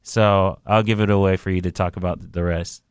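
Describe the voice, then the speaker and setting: A man hosts a casual radio show, his low voice very smooth and resonant. He rambles easily, leaning on fillers like "um" and "kinda". The sound is dry and close. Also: brisk, no echo. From Haitian descent. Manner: relaxed, rambling with many fillers.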